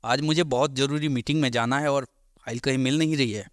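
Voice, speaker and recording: An actor playing an irritated young husband in an animated story, with a complaining delivery. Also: male voice, medium pitch, fairly quick, no echo, clean studio sound, natural voice. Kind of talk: Hindi animated moral story voice acting.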